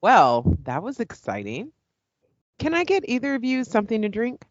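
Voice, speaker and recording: A woman's voice: fairly high pitch, huge pitch swings, moderate pace, no room sound, muffled recording. A woman playing a polite, professional current-affairs host in an audio play. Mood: casual, friendly. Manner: courteous, professional.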